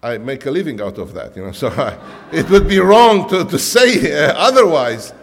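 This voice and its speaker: A man's voice: fairly high pitch, very animated, moderate pace, gravelly, slight background noise. Romanian-accented man preaching in a reverberant hall. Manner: conversational, many "you know" fillers and restarts. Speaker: pastor. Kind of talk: sermon.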